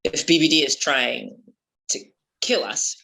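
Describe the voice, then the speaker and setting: A gruff, stubborn boat captain acted out at a table read, with a bright, forceful voice in a middling register. The delivery is folksy, commanding and thick with sarcasm. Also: medium pitch, expressive, measured pace, exaggerated voice, rough recording. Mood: scornful. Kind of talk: amateur script table read.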